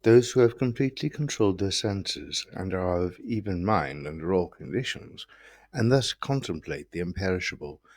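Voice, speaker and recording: A man reading scriptural quotations solemnly, with stately, deliberate and emphatic phrasing. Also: sits low, expressive, darkish tone, dry recording. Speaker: reader of quotations.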